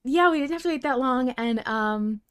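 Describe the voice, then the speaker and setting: A woman's voice: high voice, moderate pace, fairly bright tone, clear, resonant tone, no echo, studio quality. A woman podcast co-host chatting casually and analytically as a fan, in an effusive, emphatic way.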